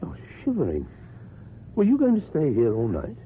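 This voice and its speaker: A man's voice: medium pitch, huge pitch swings. An actor playing a reserved, lonely, well-educated ex-convict with a British accent, kindly but wary and formal in his speech, in a radio drama. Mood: concerned. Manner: formal, measured, precise speech. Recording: muffled, noisy old radio recording.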